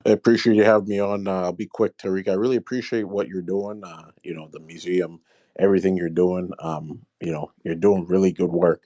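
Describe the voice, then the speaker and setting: Male caller, low pitch, dry sound, rambling and hesitant. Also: steady tone, brisk, warm tone, no room sound. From Michigan, USA. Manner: hesitant, rambling, many fillers. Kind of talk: casual call-in chat.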